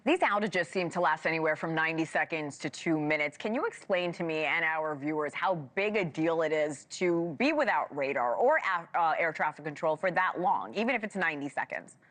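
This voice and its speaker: A female news anchor with a slightly low voice, conducting a television interview. Her delivery is polished and direct as she puts questions to a guest. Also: expressive, a little fast, hardly any pauses, a bit bright.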